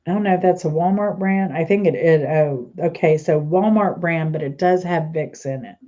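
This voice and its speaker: Woman host, stuffy and congested, casual rambling chat, low voice, dull lo-fi recording. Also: moderate pace, warm tone. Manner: chatty, rambling, trails off mid-sentence. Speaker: channel host.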